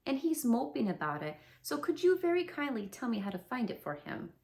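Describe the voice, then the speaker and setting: A woman voicing a simple, humble male bear who talks slowly and earnestly. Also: sits high, big pitch swings, gravelly, a little mellow, exaggerated voice. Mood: earnest, puzzled.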